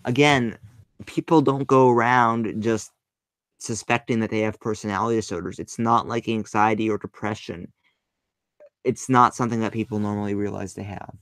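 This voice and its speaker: A man hosting his channel, pausing a clip to rebut it in skeptical casual commentary. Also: on the low side, steady tone, fairly bright tone, dry recording. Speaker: channel host reacting to a video.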